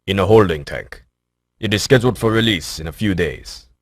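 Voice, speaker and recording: An actor playing a polite, formal official in a game cutscene. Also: male voice, deep voice, dry recording, studio quality. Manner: formal, measured. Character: formal facility official, adult man, polite.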